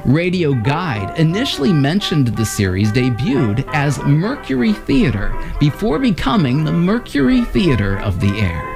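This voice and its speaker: A man's voice: on the high side, huge pitch swings, unhurried, gravelly, few pauses, music in the background. A man reading scripted narration as a channel announcer.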